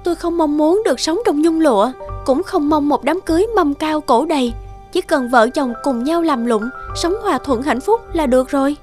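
Woman playing a high-voiced, devoted village girl. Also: moderate pace, a little mellow, faint music behind, close to an everyday voice. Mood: earnest, loving. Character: gentle, devoted young village woman in love. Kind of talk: cartoon dialogue acting.

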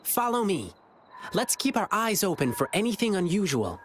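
A woman's voice: mid-range voice, big pitch swings, moderate pace, a little hiss or hum, lightly acted. A woman voicing an earnest, brave and alert young boy adventurer in a video game.

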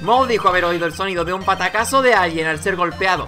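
A man's voice: high voice, quick pace, bright, forceful tone, soft background music. A man voicing an earnest young defense lawyer in a video game, hesitant but insistent. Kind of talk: video game courtroom dialogue dubbing.

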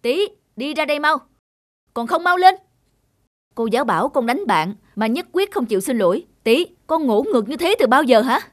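A woman's voice: high voice, sing-song, normal speed. A woman voicing an impatient, hot-tempered young mother who scolds, in a cartoon dub. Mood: angry. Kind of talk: cartoon dubbing.

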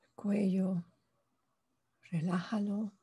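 A woman leading a guided meditation in a soft, breathy voice, calm and hushed, pausing between short phrases. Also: mid-range voice. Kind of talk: guided meditation, slow spoken instructions.

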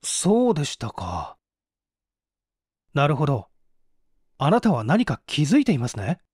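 A man voicing a courteous, earnest, worried young gentleman in an audiobook reading. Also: a little high, wildly swooping pitch, a little slow, slightly rough, no echo, studio quality, exaggerated voice. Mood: concerned. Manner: polite and earnest. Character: earnest, courteous young master, worried about his father. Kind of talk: audiobook character voice.

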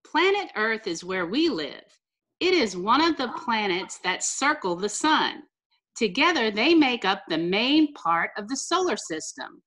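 A middle-aged American woman, a teacher reading a picture book aloud to children with careful, deliberate enunciation over a video call. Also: fairly high pitch, big pitch swings, a bit bright. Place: small room indoors, on a video call.

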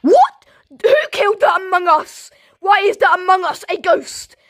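A young woman giving excitable running commentary on her gameplay, repeating herself and shouting, her throat sore and coughing. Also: extremely high pitch, measured pace. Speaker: gaming channel host. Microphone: phone.

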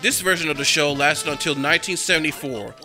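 Bright-voiced male documentary narrator. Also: on the high side, fast talker, faint music behind. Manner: enthusiastic, fan-like. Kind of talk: scripted narration.

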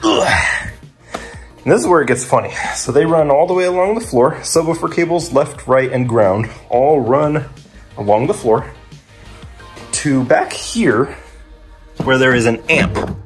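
A man's voice: medium pitch, animated, measured pace, pauses often, soft background music. A young man narrating a DIY car vlog casually and informally, thinking aloud with mild exasperation. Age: young adult.

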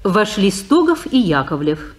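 A woman narrating stage directions in a radio play in a plain, even reading. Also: mid-range voice, a little slow.

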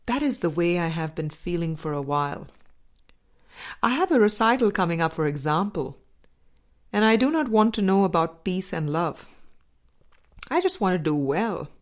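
A woman reading a spiritual audiobook as scripted narration, in measured, deliberate phrases with pauses. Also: fairly low pitch, animated. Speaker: audiobook reader.

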